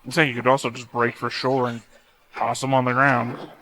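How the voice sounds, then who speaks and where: A man's voice: medium pitch, level tone, moderate pace, bright, forceful tone, low-quality recording. A man giving casual, off-the-cuff commentary as he watches a show, blunt and given to casual swearing. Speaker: reaction video host.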